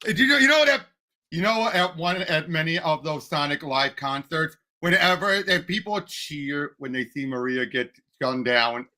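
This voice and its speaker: A man co-hosting a casual movie discussion, speaking in a halting way and often repeating himself. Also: on the high side, pushed and bright. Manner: halting, repetitive phrasing. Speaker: podcast co-host.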